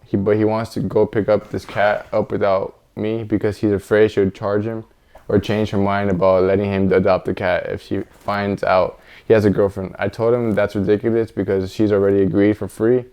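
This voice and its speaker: A male podcast co-host with a lowish, dark and mellow voice. His delivery is relaxed and informal, full of everyday slang, like chatting with a friend. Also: clear, resonant tone. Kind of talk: casual advice podcast banter.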